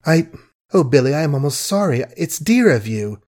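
A man voicing a fond, flustered young woman who speaks in halting, pleading bursts in an audiobook. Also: fairly high pitch, sing-song, a little mellow. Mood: tender. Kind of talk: audiobook character voice.

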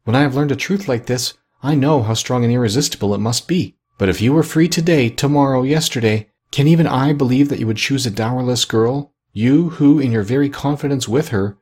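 A man voicing a gentle, sorrowful young woman who speaks softly and steadily, in an audiobook reading. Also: mid-range voice, fairly quick, few pauses, slightly rough, voice pushed up, natural voice. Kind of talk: audiobook character voice.

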